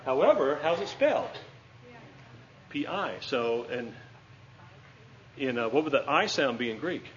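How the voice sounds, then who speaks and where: A man's voice: mid-range voice, sing-song, a little slow, dull audio, slight background noise. A man teaching a class at a whiteboard, chatty and joking, checking in with his students with a frequent "okay?".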